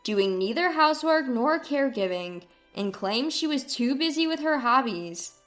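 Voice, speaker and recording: A high-pitched female narrator tells a scripted story in the first person. Faint music plays behind her voice. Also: expressive, moderate pace, fairly bright tone.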